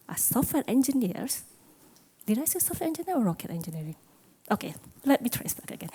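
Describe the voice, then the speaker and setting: A woman's voice: fairly high pitch, mellow, slightly rough, low-quality recording. A middle-aged woman gives a conference talk, asking the audience questions and joking about herself. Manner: self-deprecating, asks the audience questions. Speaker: conference speaker. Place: conference hall.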